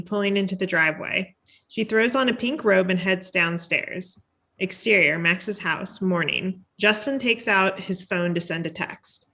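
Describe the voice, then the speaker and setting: Woman, middle pitch, scripted narration, screenplay stage directions, table read. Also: vocal fry, pushed and bright.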